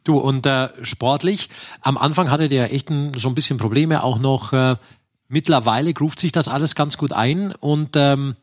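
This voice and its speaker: A man hosting a casual sports podcast, chatting in long, winding questions with a husky voice. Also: mid-range voice, moderate pace. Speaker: podcast host.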